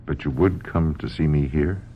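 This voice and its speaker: An actor playing a calm, probing psychiatrist in a radio mystery, measured, kindly and wry. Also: male voice, extremely low pitch. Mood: wry, reassuring. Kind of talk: old-time radio mystery acting. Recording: dull lo-fi radio recording, faint noise.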